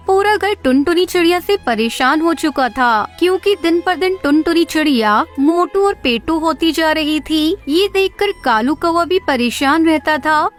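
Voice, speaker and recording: Very high-pitched woman storyteller. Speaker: narrator. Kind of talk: scripted children's moral story narration. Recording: music bed behind the voice.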